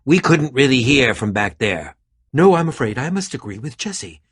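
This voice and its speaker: A man playing an eccentric, middle-aged adventurer in an animated video game, theatrical and fussy, with a dry, pompous turn of phrase. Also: mid-range voice, moderate pace, husky. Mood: earnest, fussy.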